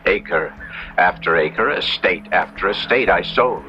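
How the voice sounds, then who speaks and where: Low-voiced, anguished ruined young nobleman. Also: animated, unhurried, sharp tone, full character voice. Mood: rueful. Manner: dramatic, formal, confessional storytelling. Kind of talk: 1970s radio drama acting and narration. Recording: dull 1970s radio broadcast, music underneath.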